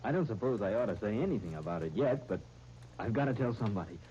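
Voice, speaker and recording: A man's voice: lowish voice, animated, dark tone, close to an everyday voice. An actor playing an eager, earnest young American man who trips over his words, in a 1930s radio drama. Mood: elated, confiding. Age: young adult. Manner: halting, stammering over words. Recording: muffled, noisy 1939 radio broadcast recording.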